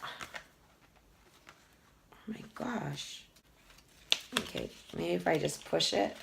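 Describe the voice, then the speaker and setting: Hoarse, gravelly woman vlogger. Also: fairly low pitch, expressive, slow pace, background noise. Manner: chatty, fond, rambling product talk with fillers. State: hoarse, losing her voice from a cough. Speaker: beauty vlogger. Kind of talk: casual unboxing chat.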